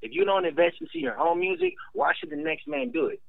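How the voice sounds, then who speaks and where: Gravelly fast-talking rapper on a tinny line. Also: male voice, extremely high pitch, no echo. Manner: blunt, emphatic, profane, animated. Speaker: rapper guest. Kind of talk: casual interview talk.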